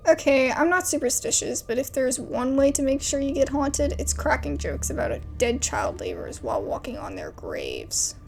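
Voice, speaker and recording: A woman voicing a nervous young woman who frets and worries out loud. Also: high voice, very animated, vocal fry, a little hiss or hum, full character voice. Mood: anxious. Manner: nervous, quick worried talk. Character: anxious young woman, jumpy and fretful.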